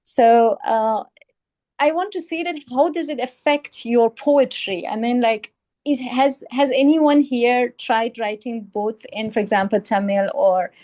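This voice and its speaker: A woman whose first language is Persian asks a panel a long question in English. Her voice is fairly high. She searches for words, with many ums and restarts. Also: measured pace, darkish tone. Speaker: audience member asking a question. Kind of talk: panel discussion.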